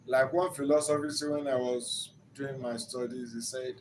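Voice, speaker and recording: A man's voice: mid-range voice, fairly even intonation, moderate pace, slight background noise. An older man with a Nigerian accent and Yoruba as his first language, giving an earnest, teaching-style talk as a relationship counselor that builds to emphatic rises.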